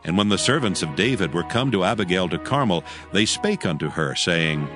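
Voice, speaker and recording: A man reads scripture as a narrator, in a solemn and measured way. His voice is fairly low and moves expressively up and down. Faint music plays underneath. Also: lively intonation. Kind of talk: scripted dramatized Bible narration.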